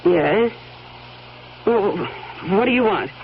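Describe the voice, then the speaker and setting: An actress playing a nervous, guilt-ridden woman in a radio drama, dramatic and halting when flustered. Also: mid-range voice, lively intonation. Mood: guarded. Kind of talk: radio drama narration and dialogue. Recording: muffled old radio broadcast, loud noise.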